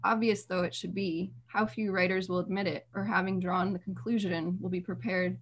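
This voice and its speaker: A woman's voice: lowish voice, flat delivery, moderate pace, lo-fi sound, a little hiss or hum. A woman reading from a literary text. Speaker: reader.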